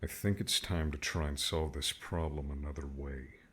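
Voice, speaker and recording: A man's voice: extremely low pitch, steady tone, normal speed, a bit bright. An actor playing a weary, short-tempered man in an audiobook story, serious and aggravated. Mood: quiet, grim. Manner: aggravated, emphatic.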